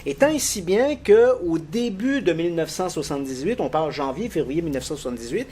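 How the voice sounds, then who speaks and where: A middle-aged man with a fairly high voice that swings up and down with his excitement. He recounts events as an animated, easy-chuckling storyteller in a conversation, sounding lively rather than formal. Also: a little fast, a little hiss or hum. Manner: animated, lively storyteller with frequent pitch jumps. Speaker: guest expert on paranormal cases.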